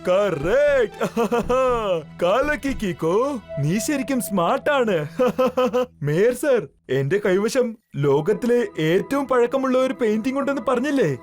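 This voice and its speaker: A very high-pitched, scatterbrained cartoon scientist. He is excitable and boastful, fussing and exclaiming as he shows off his inventions. Music plays behind him. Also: takes it slowly, full character voice. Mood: proudly explaining. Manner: fussy, exclamatory, forgetful, repeats words. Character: excitable absent-minded male inventor scientist, comically boastful. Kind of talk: children's cartoon dubbing in Malayalam.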